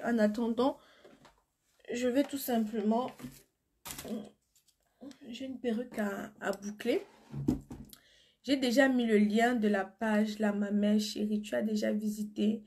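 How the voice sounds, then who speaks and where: A woman's voice: on the high side, a little hiss or hum. A woman with a cold and flu chatting on a live stream from her phone, answering viewers' comments and turning blunt when she insists on something. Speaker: beauty seller on a live stream. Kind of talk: casual live stream chat.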